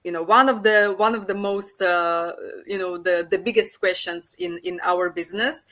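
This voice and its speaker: Bright-voiced woman co-hosting, halting delivery. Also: on the low side, steady tone. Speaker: co-host. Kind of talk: casual live-stream interview. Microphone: video call.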